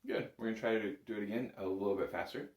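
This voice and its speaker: A man's voice: on the low side, fairly even intonation, racing. A man giving an informal, conversational music lesson as an instructor, explaining exercises in an encouraging, self-effacing way. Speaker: bagpipe instructor. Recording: roomy, echoing indoor sound.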